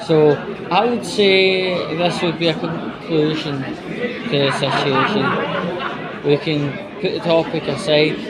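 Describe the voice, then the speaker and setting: Higher-voiced man vlogging amid loud crowd noise. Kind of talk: casual personal update to viewers. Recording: busy indoor public space, crowd noise. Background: loud crowd chatter in a large indoor space.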